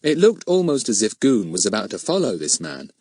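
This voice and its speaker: A man narrating a children's story from a script in an expressive, animated way. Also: lowish voice, fairly quick, dry recording. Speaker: audiobook narrator.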